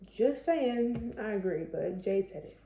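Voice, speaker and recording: A young woman with a slightly raspy voice, chatting casually to camera with lots of fillers. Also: medium pitch, expressive, a little slow, dark tone, reverberant, slight background noise. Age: young adult. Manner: chatty, conversational, many fillers. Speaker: vlogger.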